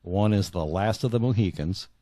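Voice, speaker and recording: A man in his sixties speaking as a guest author in a reflective interview, earnest and halting, often repeating words as he searches for phrasing. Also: fairly low pitch, mellow, no room sound. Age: 60s.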